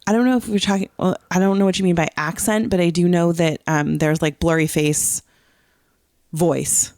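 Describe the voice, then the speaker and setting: Female co-host, casual fan chat. Lowish voice with noticeable fry, filler-heavy conversational delivery, close dry sound. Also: fairly even intonation, normal speed, darkish tone, dry recording. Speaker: podcast co-host.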